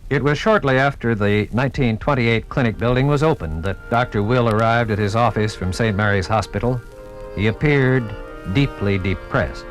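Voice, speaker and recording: A man's voice: medium pitch, moderate pace, soft background music, poor audio. An American man narrating a scripted 1950s radio documentary with formal, dramatic announcer delivery. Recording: vintage 1950s radio recording.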